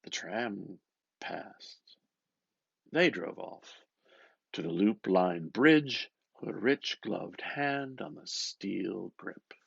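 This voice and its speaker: A man reading a novel aloud with expressive, dramatic narration, musing and often dropping into a hushed murmur. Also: sits low, very slow, vocal fry, husky, no echo, highs cut off. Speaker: reader. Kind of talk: expressive literary audiobook narration.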